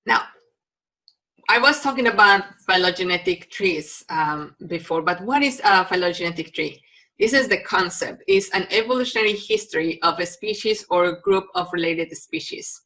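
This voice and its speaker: Mexican-accented woman lecturing, bright tone. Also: fairly low pitch, expressive, normal speed. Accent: Mexican Spanish. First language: Spanish. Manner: explanatory, with frequent ums and restarts. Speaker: university biology lecturer. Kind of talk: recorded online lecture.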